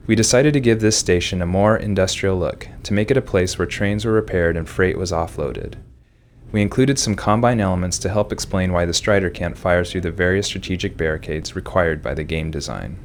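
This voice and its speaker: Man, very low voice, game developer, scripted commentary, some background noise. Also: fairly quick.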